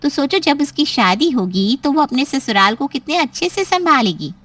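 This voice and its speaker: A woman's voice: high-pitched, very animated, moderate pace, a bit bright, no echo, recorded from a distance. A woman playing a reassuring, persuasive middle-aged aunt in story dialogue, emphatic and coaxing. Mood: dismissive reassurance.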